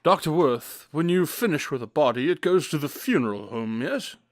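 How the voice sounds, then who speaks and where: A man playing a cerebral, dry-witted consulting detective in an audio drama, speaking formally and deliberately with self-assurance. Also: on the high side, expressive, dry recording, close to an everyday voice. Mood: inquisitive. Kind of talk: modern audio drama acting.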